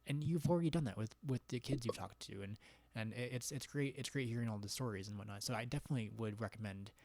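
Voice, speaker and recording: Fast-talking male podcast host. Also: mid-range voice, a little mellow. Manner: encouraging, rambling with fillers. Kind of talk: casual interview talk.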